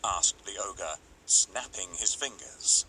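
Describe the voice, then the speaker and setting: A man narrating a scripted children's fairy tale as a dramatic, expressive storyteller. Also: deep voice, takes it slowly, slight background noise, poor audio.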